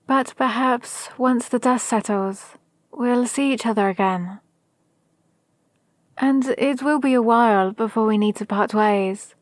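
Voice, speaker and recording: Woman acting a slow-spoken scheming villain mentor. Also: a little high, no echo, natural voice. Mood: probing, composed. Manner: measured, deliberate, formal. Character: scheming, power-hungry female villain mentor, gentle yet menacing. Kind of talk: scripted ASMR roleplay monologue.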